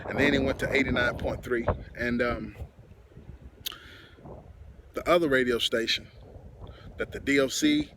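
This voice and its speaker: A man's voice: medium pitch, fairly even intonation, measured pace, pauses often. A middle-aged man with a Texan accent, a host and musician telling a casual, rambling story outdoors, full of fillers and asides. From Texas. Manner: loose and conversational, many fillers and asides. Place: outdoors in wind and sun. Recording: noisy outdoor recording with wind.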